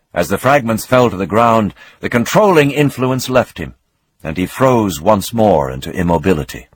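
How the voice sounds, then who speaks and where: Husky, expressive male narrator. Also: on the low side, dry recording. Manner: expressive, dramatic reading with swelling emphasis. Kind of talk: scripted narration of a novel.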